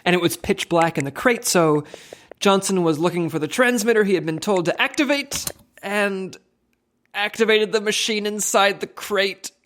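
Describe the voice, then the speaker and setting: A man's voice: high-pitched, lively intonation, a bit bright, a little hiss or hum. Man acting a flustered, officious team leader. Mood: halting, sheepish. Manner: halting, officious, defensive. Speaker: actor in a comedy audio drama. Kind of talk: comedy audio drama acting.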